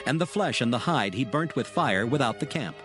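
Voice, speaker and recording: A man narrating scripture from a script in a measured, solemn manner. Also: medium pitch, lively intonation. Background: music.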